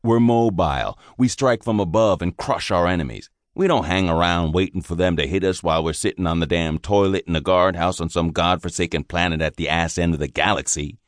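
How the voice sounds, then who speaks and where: A man voicing a gruff, foul-mouthed veteran army sergeant who drawls in slang. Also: low-pitched, fairly quick, few pauses, no echo, studio quality, close to an everyday voice. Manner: crude, swearing, drawling slang.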